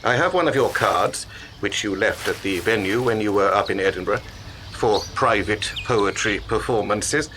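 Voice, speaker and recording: An actor in a radio play playing a secretive, cultured male promoter who speaks in a measured, erudite, persuasive way. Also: fairly low pitch, bright, forceful tone, a little hiss or hum. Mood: businesslike, coaxing.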